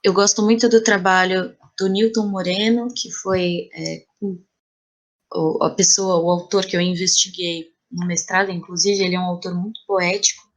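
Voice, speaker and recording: A woman's voice: lowish voice, flat delivery, moderate pace. A Brazilian woman speaking as a guest in a casual podcast conversation, with a Brazilian Portuguese accent. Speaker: interview guest, playwright.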